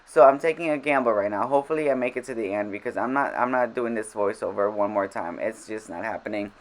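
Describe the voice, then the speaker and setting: A woman's voice: rumbling low, fast, slight background noise. A woman whose first language is Spanish, chatting over gameplay in a casual voiceover. She rambles and interrupts herself, a little frazzled. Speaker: game vlogger.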